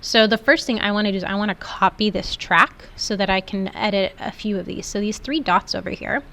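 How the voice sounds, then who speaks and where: A woman's voice: medium pitch, a little fast, sharp tone. A woman instructor giving an informal, step-by-step screen tutorial in an explanatory way. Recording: clearly noisy background.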